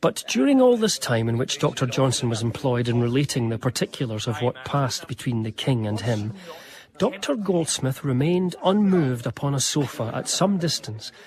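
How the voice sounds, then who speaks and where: An actor playing an admiring gentleman biographer, narrating a period radio drama in formal, precise literary language. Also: medium pitch, sing-song, normal speed. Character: admiring gentleman biographer recounting his great friend's life.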